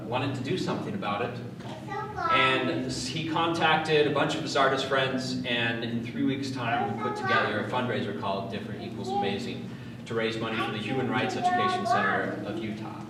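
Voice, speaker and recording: A man giving an informal public talk as a playwright, hesitant and pausing between short phrases. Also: mid-range voice. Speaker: playwright introducing his play. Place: large reverberant room at a live event. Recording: very echoey room, distant mic, noisy.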